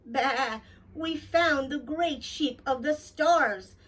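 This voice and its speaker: A woman voicing a boastful, excitable female sheep in a storybook, exclaiming and argumentative. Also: high voice, slow, distant mic, pitched above the performer's own voice.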